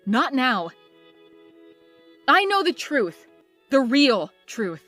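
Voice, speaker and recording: A woman acts out a young woman worried sick over her friend, in melodramatic comic dub style. Her voice is very high and bright, swinging widely with emotion. Music plays underneath. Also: sing-song, very slow, exaggerated voice. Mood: hurt, resigned. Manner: emotional, pleading, dramatic.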